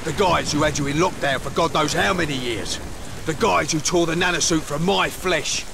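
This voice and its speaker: An actor voicing a gruff, bitter, battle-worn squad leader in a video game, clipped and urgent. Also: male voice, fairly high pitch, moderate pace. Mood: insistent, exasperated. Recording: noisy game scene with rain.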